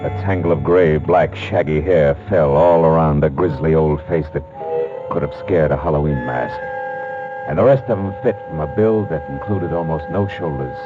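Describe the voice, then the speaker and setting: An actor playing a wry, cynical private detective, narrating in terse 1950s radio noir style. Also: male voice, very deep voice, moderate pace, music in the background. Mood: wry disgust. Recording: dull 1950s radio recording.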